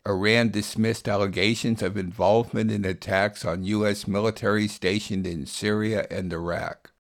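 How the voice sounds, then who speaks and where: Male radio host, low-pitched, scripted headline rundown. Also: fairly even intonation, normal speed. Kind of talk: scripted news headline summary.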